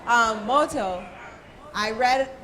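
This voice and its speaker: A woman's voice: on the high side, slow pace. A woman moderating a panel discussion in a casual, joking style. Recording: live event, clear background noise.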